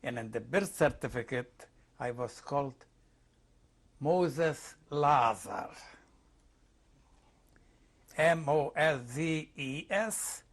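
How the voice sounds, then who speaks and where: Slow-speaking elderly man with Hungarian accent. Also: on the high side, expressive. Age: 80s. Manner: halting, searching for words. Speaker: interviewee. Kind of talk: oral history testimony.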